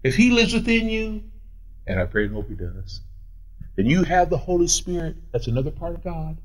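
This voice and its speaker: Pastor, 40s, male: high, swooping delivery, paces the pulpit, argues with imagined doubters. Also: rough recording. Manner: animated, swooping pitch, paces while preaching; answers his own imagined questions. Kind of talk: sermon.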